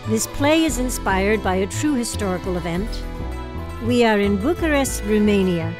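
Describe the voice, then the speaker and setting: A woman presents a theater podcast in scripted narration. Her pitch is medium for a woman, and music plays clearly behind her. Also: expressive, normal speed. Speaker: podcast host and announcer.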